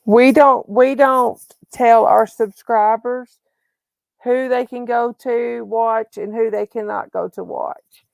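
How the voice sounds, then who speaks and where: Woman livestream host, gravelly and fairly high voice, dry close sound, chatty, restarts a lot. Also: steady tone, slow pace, dry recording, low-quality recording. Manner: chatty, repeats and restarts phrases. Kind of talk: casual livestream chat.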